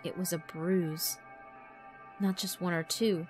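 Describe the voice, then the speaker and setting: A woman's voice: fairly low pitch, fairly even intonation, slow pace, soft background music. A woman narrator reading a horror visual novel as dramatic scripted storytelling.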